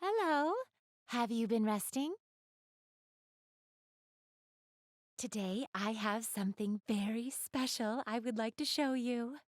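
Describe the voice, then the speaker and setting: A woman's voice: on the high side, measured pace, no room sound, clean studio sound, close to an everyday voice. A woman playing an elegant, doting big-sister goddess in a video game, speaking in polite, formal, refined phrases straight to the listener. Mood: gushing, delighted. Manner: polite, formal, refined diction, gushing over what she adores. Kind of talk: video game character acting, addressing the listener.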